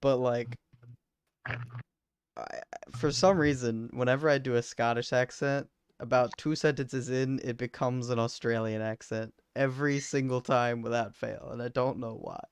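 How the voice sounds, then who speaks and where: A man with a gentle Southern accent, rambling loosely and jokily after a game. His voice is smooth and resonant, and the recording is dry and close. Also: mid-range voice, steady tone, moderate pace, dry recording. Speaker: player. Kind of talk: casual post-game chat.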